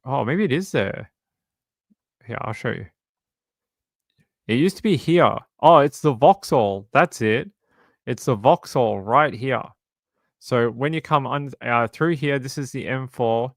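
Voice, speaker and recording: Australian guy, about forty, streamer, casual rambling chat, mid pitch, dry close mic. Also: animated, measured pace, gravelly, pauses often, no echo. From Sydney, Australia. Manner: rambling, self-correcting storyteller. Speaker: true crime streamer.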